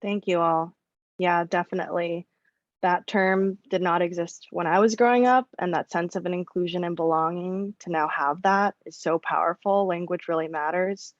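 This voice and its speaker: A woman's voice: lowish voice, steady tone, normal speed, dry recording. A woman moderates a panel in a friendly, conversational way. Her audio is dry and a little dull and lo-fi, with no room sound.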